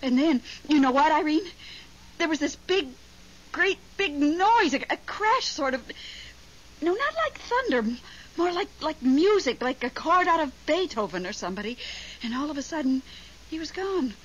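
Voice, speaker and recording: A woman's voice: high-pitched, sing-song, moderate pace. A woman playing an emotional, clinging widow with a dramatic, wistful, insistent manner in 1970s radio drama style. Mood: breathless, excited. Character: emotional, clinging widow named Melba, devoted to dead husband. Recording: dull 1970s radio recording, noisy.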